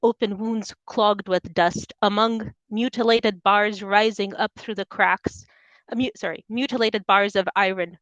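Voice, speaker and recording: A woman's voice: medium pitch, a bit bright, dry recording, rough recording. A woman from Soviet Ukraine speaking as a poet at a reading, presenting her own work. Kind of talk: poetry reading with informal introductions.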